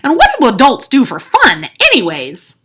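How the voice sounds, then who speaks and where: A woman's voice: on the high side, wildly swooping pitch, normal speed, a bit bright, breathy edge, pitched above the performer's own voice, exaggerated voice. A woman voicing a playful, childlike male imaginary friend in a storybook, with a bouncy, exclamatory, eager way of talking. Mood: cheeky.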